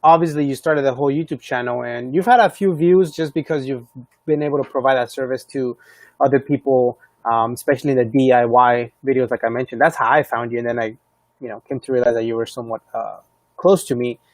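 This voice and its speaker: A man's voice: mid-range voice, steady tone, fast talker, dry recording. A man hosting a live stream, asking friendly, inquisitive questions and often cutting in with encouraging affirmations. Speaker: live stream host and interviewer. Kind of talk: casual interview questions.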